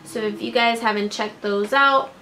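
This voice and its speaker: Young woman, beauty vlogger, gushing and chatty, slightly high voice, small room, faint hiss. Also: steady tone, moderate pace, bright, forceful tone. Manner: gushing, upbeat, heavy use of "like" and "obsessed". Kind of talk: casual product review to camera. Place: small indoor room with string lights.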